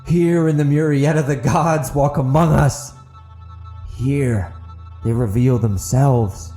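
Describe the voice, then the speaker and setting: A man's voice: mid-range voice, slow, dark tone, soft background music, pitched above the performer's own voice. Man acting a manic, taunting gunman zealot. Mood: grandiose. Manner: taunting, theatrical, grandiose. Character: mad wounded gang gunman, cackling zealot of living gods. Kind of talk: dramatic audiobook character acting.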